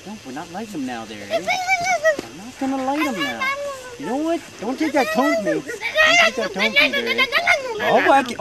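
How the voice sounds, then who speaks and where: Actress playing a bomb-shop lady: folksy, quick to scold, pitch swinging wildly, loud noise behind. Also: heavily acted. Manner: folksy, tacks "there, eh" onto lines; scolding, threatening. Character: chatty hot-tempered female bomb shopkeeper.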